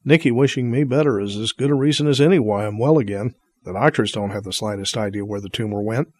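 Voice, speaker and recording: A man's voice: on the low side, fast, breathy edge. A man playing a grateful, kind, easygoing uncle in an audio drama. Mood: reflective.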